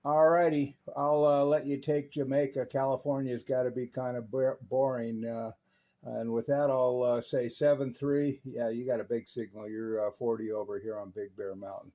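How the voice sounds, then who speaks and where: American male repair presenter, mellow tone, casual and unhurried, pausing often as he talks. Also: medium pitch, level tone, muffled recording. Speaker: radio repair technician and presenter. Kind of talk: casual hands-on repair narration and CB radio chat.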